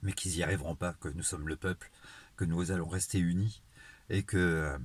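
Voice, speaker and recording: A man, speaking an earnest, improvised monologue to camera in a halting way with searching pauses. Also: low voice, steady tone, husky. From Marseille, southern France. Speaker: vlogger. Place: outdoors in a town street at night, later inside a car. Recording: noisy phone selfie audio, ambient noise.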